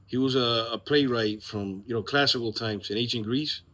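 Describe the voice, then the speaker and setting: A man's voice: mid-range voice, moderate pace, a bit bright. A man co-hosting a casual chat about theater, informal and earnest in how he talks. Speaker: playwright and co-host promoting a play. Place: outdoors in the evening.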